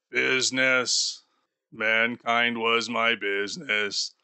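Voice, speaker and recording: A man voicing a doomed, grieving male ghost bound in chains, speaking slowly and gravely for an audiobook. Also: on the low side, pushed and bright, no echo, heavily acted. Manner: slow, solemn and grave. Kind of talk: audiobook character voice.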